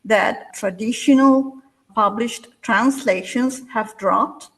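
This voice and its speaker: Woman, fifties, unhurried measured speech, pauses to think, interview guest. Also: on the high side, poor audio. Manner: slow, measured, with pauses and restarts. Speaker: literary translator guest. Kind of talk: interview answers.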